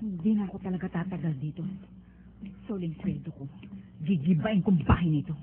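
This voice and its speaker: A woman's voice: lowish voice, fairly quick, slight background noise, natural voice. A woman playing a desperate runaway Filipina migrant maid in a radio drama, with an anxious, pleading manner. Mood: bitter, determined. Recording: thin phone-like radio sound, music behind.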